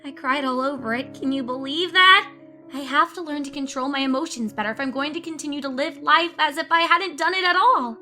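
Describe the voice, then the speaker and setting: High, anguished young female pony. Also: a little fast, soft background music. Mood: nervous, composed. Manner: anguished, highly emotional, swinging from hushed to screaming. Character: guilt-ridden, paranoid young female pony student unraveling into madness. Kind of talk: dramatic reading of confessional letters with music.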